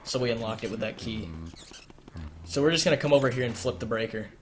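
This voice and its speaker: A man's voice: mid-range voice, fast. Young man with a strained, cracking voice. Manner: explanatory, repeats points, filler "uh". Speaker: speedrun guide narrator. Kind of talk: step-by-step gameplay walkthrough. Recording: noisy home recording with keyboard clicks.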